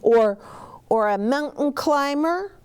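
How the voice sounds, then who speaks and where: A woman's voice: high voice, expressive, slow, darkish tone, voice pushed up. A woman voicing curious, anxious young twins in a storybook, with earnest childlike questioning. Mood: curious, wondering.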